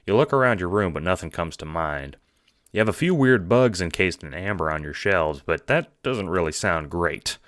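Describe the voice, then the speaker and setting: A man's voice: low-pitched, lively intonation, moderate pace, a bit bright, no echo, studio quality. A man narrating a scripted second-person audiobook drama.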